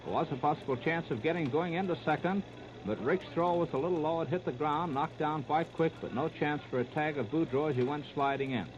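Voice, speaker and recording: Male 1930s American radio baseball commentator, higher-pitched voice, clipped delivery, heavy noise on a dull old disc. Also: a little fast. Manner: clipped present-tense running description. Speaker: baseball play-by-play announcer. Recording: muffled, very noisy 1939 radio disc.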